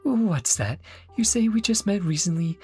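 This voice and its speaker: A man's voice: sits high, animated, normal speed, faint music behind, voice pushed up. A man voicing a playful, poetic bard from a game, speaking theatrically and affectionately to an old friend. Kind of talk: reading game dialogue in character.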